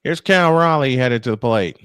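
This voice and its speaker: A man's voice: medium pitch, lively intonation, moderate pace, fairly bright tone, smooth, no echo. Guy doing live baseball play by play, chatty with his own takes, really dry close sound. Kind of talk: live sports commentary.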